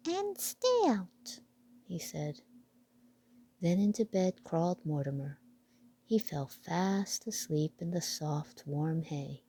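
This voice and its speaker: A woman reading a children's picture book aloud with deliberate storytelling phrasing. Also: sits low, dark tone.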